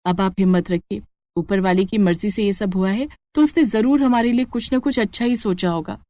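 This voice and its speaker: A woman's voice: medium pitch, moderate pace, warm tone, dry recording, natural voice. A woman voicing a brave, caring and fiercely protective village wife and mother in a moral story, firm and feisty. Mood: reassuring, determined.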